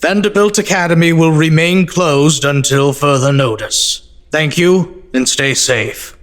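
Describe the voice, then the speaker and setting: A man playing a solemn school dean giving a deliberate, official address in an audio drama. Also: a little high, fairly bright tone, a little hiss or hum. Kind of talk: formal announcement in audio drama.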